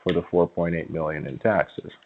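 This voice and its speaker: A man on a phone call, talking in a coaxing, agreeable sales manner with hesitant pauses. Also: deep voice, fairly even intonation, a little hiss or hum. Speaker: phone caller pitching a sweepstakes prize. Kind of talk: conversational phone sales talk. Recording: muffled, narrow-band phone call.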